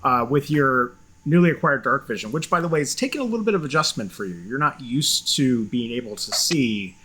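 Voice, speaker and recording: A man narrating a tabletop game as game master, halting and filled with restarts. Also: medium pitch, lively intonation, sharp tone, breathy edge, poor audio.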